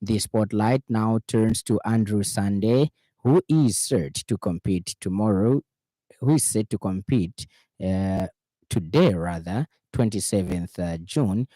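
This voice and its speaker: Male radio news anchor, Zambian accent, low mellow register, formal scripted delivery. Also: no room sound.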